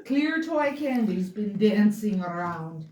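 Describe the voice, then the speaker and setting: Roomy, animated woman storyteller. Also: mid-range voice, slow. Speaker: storyteller reading aloud. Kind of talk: rhyming holiday story read aloud to listeners. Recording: roomy, distant mic, faint noise.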